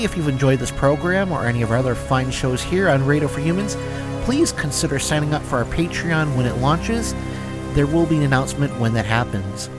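Male horror host over loud music. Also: on the high side. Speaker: horror anthology podcast host. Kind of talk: scripted radio-host sign-off. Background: loud incidental music.

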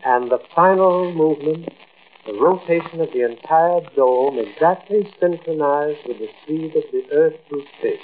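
A man's voice: a little high, natural voice. An elderly, priestly astronomer acted by a man in a vintage radio play. He speaks formally and precisely, like a patient lecturer, on a muffled recording with a light hiss. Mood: formal, instructive. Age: older. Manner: measured, precise, didactic. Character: courteous old astronomer lecturing visitors, solemn and priestly. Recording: muffled, hissy old-time radio recording.

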